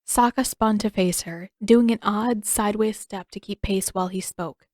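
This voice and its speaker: A woman narrates fiction from a script. Her voice has an average female pitch. The recording is dry, with hardly any room sound. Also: moderate pace, darkish tone, no echo. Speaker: audiobook narrator.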